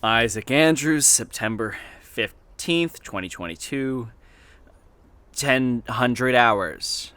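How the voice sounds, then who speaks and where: An actor playing a weary, guarded researcher who dictates a deliberate audio log in a horror podcast. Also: male voice, medium pitch, animated, sharp tone, slight background noise. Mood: businesslike. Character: weary male researcher recording audio logs, guarded, brooding. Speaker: investigator narrating an audio journal.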